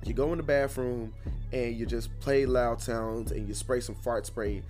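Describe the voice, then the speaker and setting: A podcast host, slightly hoarse, talking in an animated, joking way. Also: male voice, mid-range voice, normal speed, faint music behind. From Houston, Texas. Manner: animated, laughing storyteller. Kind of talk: casual chat.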